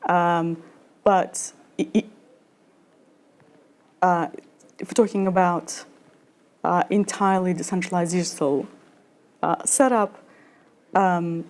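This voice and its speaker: A woman in her thirties giving a conference talk and answering questions, halting with many fillers and restarts. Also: fairly low pitch, steady tone, darkish tone. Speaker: conference speaker. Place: lecture room at a conference.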